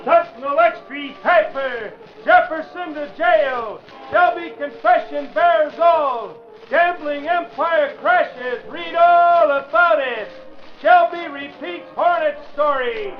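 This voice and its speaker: Radio newsboy, street-calling, very high and drawn out, dull old broadcast sound. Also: male voice, slow, faint music behind. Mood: hawking. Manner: loud sing-song street calling. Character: shouting male newsboy hawking papers. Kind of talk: 1940s radio acting.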